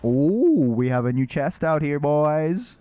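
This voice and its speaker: A man doing casual live gameplay commentary, exclamatory and quick to swear. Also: a little high, big pitch swings, mellow, dry recording. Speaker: game streamer.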